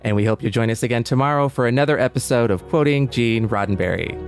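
A man's voice: medium pitch, fast talker, faint music behind. A man co-hosting a podcast, talking casually and thoughtfully. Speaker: podcast co-host.

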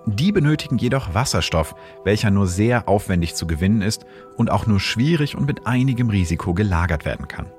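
Low-voiced man explaining science. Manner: calm, explanatory, measured. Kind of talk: scripted science narration.

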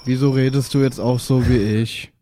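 A man's voice: medium pitch, fairly even intonation, normal speed. A man playing in an improvised tabletop role-playing game, talking casually in and out of character. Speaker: tabletop role-play player. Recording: clear background noise, chirping night ambience.

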